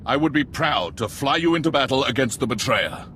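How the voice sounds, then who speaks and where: A man's voice: medium pitch, expressive, fairly quick, pushed and bright, a little hiss or hum. A man playing a proud, loyal Imperial general in a game, with grandiose, zealous speech. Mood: eager pride. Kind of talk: video game cutscene acting.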